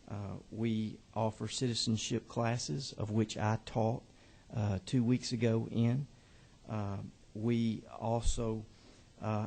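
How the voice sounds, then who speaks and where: A man, a state representative, giving halting, earnest remarks to a committee on his bill. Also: lowish voice, vocal fry, dark tone. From Georgia. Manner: earnest, halting with many fillers.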